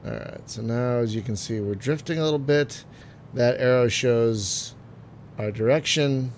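A man getting over a cold, phlegmy and clearing his throat, chatting casually through a game with many ums and trailing remarks. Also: mid-range voice, unhurried, slight background noise. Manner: chatty, frequent ums and uhs, trailing off quietly. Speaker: gaming video host. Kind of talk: casual let's-play commentary.

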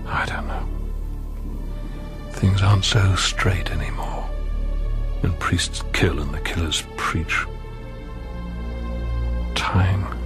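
Low-voiced, brooding priest. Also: male voice. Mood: brooding, anguished. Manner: brooding, halting, intense, repeating phrases. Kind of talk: radio drama acting, interior monologue and dialogue.

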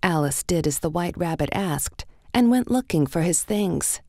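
A woman's voice: on the low side, lively intonation, moderate pace, a little mellow, no echo, studio quality. A woman narrating a children's read-along storybook in a scripted, story-reading style.

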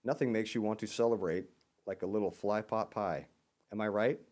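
A man's voice: lowish voice, fairly even intonation, normal speed, a little mellow, natural voice. A man voicing a jolly bullfrog in a children's storybook, hearty and exclaiming. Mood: jolly, inviting. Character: jolly croaking bullfrog offering holiday treats.

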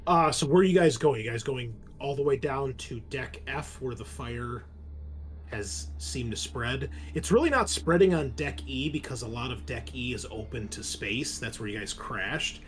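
A man narrating a tabletop roleplaying game as game master, explaining things in a halting way. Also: mid-range voice, lively intonation.

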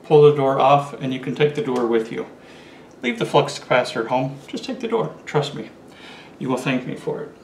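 A man in his forties talks eagerly to the camera while he holds up the items he collected. His medium-pitched voice bounces around a small, echoey room, with a faint hiss underneath. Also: fairly quick, a little mellow. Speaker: collector vlogger. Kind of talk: casual show-and-tell vlog. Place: small indoor room with curtains.

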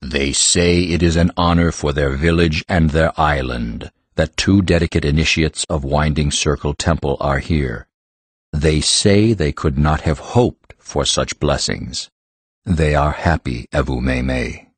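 A man playing a calm, ancient living-rock creature with measured, formal, gentle speech in a fantasy audiobook. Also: very deep voice, dry recording. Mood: calm, reasoning. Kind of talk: full-cast audiobook acting.

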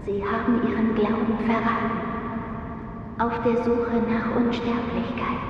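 A woman playing a solemn, unseen mysterious female figure in a game audio drama, slowly giving foreboding words through heavy reverb. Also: a little high. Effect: heavy cavernous reverb. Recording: echoing, distant, dull, noisy ambience.

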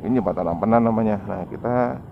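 A man's voice: fairly low pitch, fast, vocal fry. A man narrating a casual vlog as he rides, giving unscripted running commentary in short phrases, recorded outdoors on a camera mic. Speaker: motovlogger. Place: outdoors on a motorbike in town streets. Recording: muffled lo-fi action camera, street noise.

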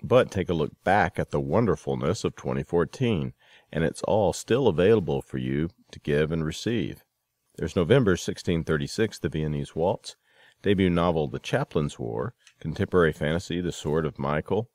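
A man's voice: a bass voice, animated, normal speed, darkish tone, dry recording. A man hosting a podcast, reading promotional announcements and introductions. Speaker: podcast host.

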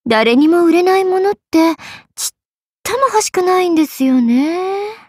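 Playful merchant spirit girl for an anime game, super high voice, polite saleslady teasing, dry close sound. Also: animated, moderate pace, a little mellow, no echo. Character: playful, money-loving half-spirit merchant sorceress, young woman. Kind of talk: Japanese anime game character voice acting.

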